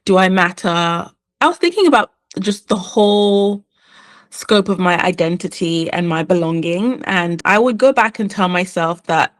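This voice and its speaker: Woman, guest, lowish voice, unhurried and reflective, restarts often. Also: animated. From born in the UK, raised in Ireland and England. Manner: thoughtful, unhurried, with frequent restarts. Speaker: guest, cartoonist. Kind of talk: reflective conversational interview.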